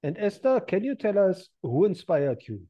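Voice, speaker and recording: A man's voice: high-pitched, sing-song, normal speed, dark tone. A man hosting an interview, asking polite questions. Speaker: interviewer, host.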